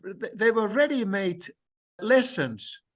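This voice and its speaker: A man whose first language is Finnish, talking slowly and hesitantly in a discussion, with many fillers and restarts. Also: high voice, lively intonation. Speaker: language learner sharing experience. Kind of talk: conversational discussion.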